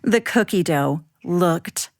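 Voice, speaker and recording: A woman narrating a scripted children's bedtime story with expressive, deliberate, simple phrasing. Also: fairly low pitch, no echo, clean studio sound.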